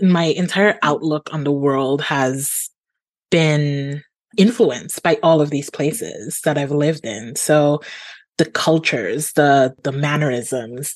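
A woman in her forties from Trinidad and Tobago, chatting as a podcast guest. She has a low voice, laughs easily and sounds animated. The recording is dry and close. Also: dry recording. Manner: laughs often, animated and open. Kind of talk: conversational interview.

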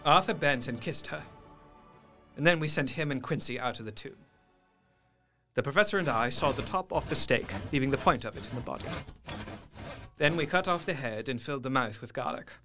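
Male actor as gentleman doctor, dramatic gothic narration, lively intonation, literary, radio play, musical backing. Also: mid-range voice, a little fast, long pauses, faint music behind. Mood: solemn, measured. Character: earnest gentleman doctor recounting a gothic horror.

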